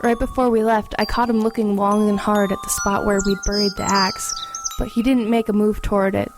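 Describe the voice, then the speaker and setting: A woman's voice: mid-range voice, flat delivery, fairly quick, music in the background, natural voice. A young woman playing an earnest, anxious but resolute teenage girl who narrates and acts in an audio horror drama. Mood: reflective. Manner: earnest, urgent in dialogue, reflective in narration. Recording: rain and ambience behind the voice.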